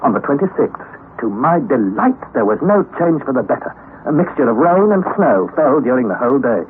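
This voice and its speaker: An actor playing an earnest young nephew who recounts a perilous expedition in measured, theatrical old radio-drama style. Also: male voice, mid-range voice, big pitch swings, phone-quality sound, natural voice. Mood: grave, suspenseful. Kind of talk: dramatic first-person narration, old-time radio acting. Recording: thin, band-limited vintage radio recording, noisy.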